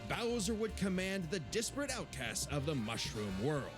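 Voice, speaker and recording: Calm explainer guy narrating, kinda high voice w lots of melody, music behind. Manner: measured, explanatory storytelling. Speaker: co-host of a versus analysis show. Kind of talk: scripted narration.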